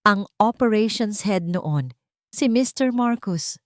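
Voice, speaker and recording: A woman voicing a male private investigator in an audio drama, grave and urgent, speaking deliberately and respectfully. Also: medium pitch, animated, no room sound, studio quality, pitched above the performer's own voice. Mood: grave, revealing.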